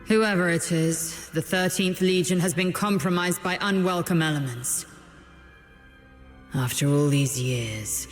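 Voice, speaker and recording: An actor plays a ruthless legion chief from a video game, curt and imperious. The delivery is measured and unhurried, ringing through an echoing hall with music. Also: mid-range voice, lightly acted. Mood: cold, menacing. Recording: echoey hall reverb with music.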